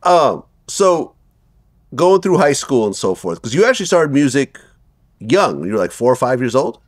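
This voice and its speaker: A man's voice: mid-range voice, big pitch swings, moderate pace, no room sound, clean studio sound. A middle-aged man hosting an interview, asking friendly conversational questions. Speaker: interview host.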